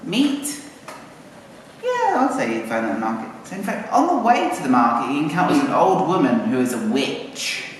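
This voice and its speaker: A man's voice: on the high side, wildly swooping pitch, moderate pace. A man narrating a story live, chatting informally and coaxing children with questions. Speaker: narrator and puppeteer. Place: live puppet show in arts centre. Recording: echoey hall, distant mic, faint noise.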